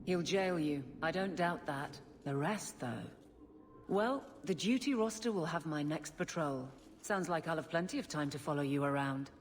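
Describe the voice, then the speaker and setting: A woman's voice: low voice, lively intonation, normal speed, big-room sound, slight background noise. A woman playing a principled, no-nonsense city guard in a video game, blunt and resolute.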